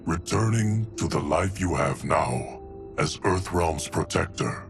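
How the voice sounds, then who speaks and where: An actor playing an ancient, stoic male guardian of time who speaks in a measured, solemn way in a video game cutscene. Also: low voice, vocal fry, heavily acted. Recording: game audio with music bed.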